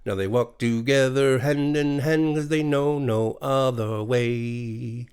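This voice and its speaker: A man's voice: mid-range voice, slow, darkish tone, clean tone, lightly acted. A man voicing a small, gleeful storybook creature with an exuberant singsong nursery-rhyme delivery. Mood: joyous singing. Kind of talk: storybook character voice.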